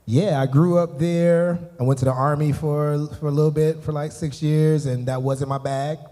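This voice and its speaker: A man's voice: fairly high pitch, fairly even intonation, normal speed, warm tone. A man from South Carolina with a Southern US accent, talking casually and jovially as an interview guest, rambling and repeating phrases. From Columbia, South Carolina, US. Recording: reverberant hall sound.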